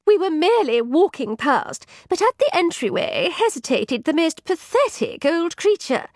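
Casting: haughty young lady-in-waiting for a storybook played by a woman, very high, swooping, gushing, indignant. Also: very animated, moderate pace, dry recording, clean studio sound, exaggerated voice. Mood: breathless indignation. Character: haughty young lady-in-waiting, melodramatic, snappish and easily tearful.

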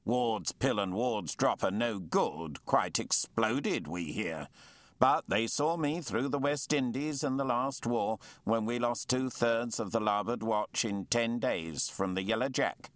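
A man's voice: medium pitch, expressive, a little slow, dry recording, pitched above the performer's own voice, natural voice. A man voicing a blustering, indignant navy lieutenant of fifty who rails in an old-fashioned way. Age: 50s.

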